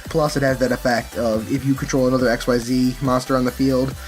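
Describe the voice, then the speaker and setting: A man's voice: mid-range voice, flat delivery, brisk. Casual man explaining, music behind. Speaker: card game player presenting a deck profile.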